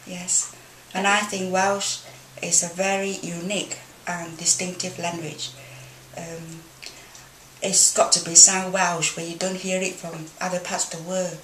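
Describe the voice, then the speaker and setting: A middle-aged woman speaks in a measured, even voice, often filling her sentences with "you know." Her pitch is slightly low and stays flat. The room rings with echo. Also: a little hiss or hum. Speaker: interviewee. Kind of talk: thoughtful casual opinion.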